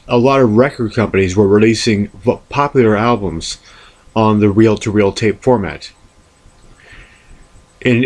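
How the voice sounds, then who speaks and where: A man presenting a record collection in an unscripted show-and-tell, speaking deliberately and haltingly, often repeating words. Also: on the low side, darkish tone. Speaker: music collector presenting albums.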